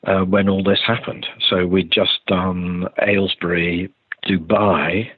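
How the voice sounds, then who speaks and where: A man's voice: low voice, level tone, slow, breathy edge. An older man being interviewed as a theatre director on a remote podcast call, talking in a hesitant, rambling way with restarted sentences. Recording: roomy, thin phone-like call audio.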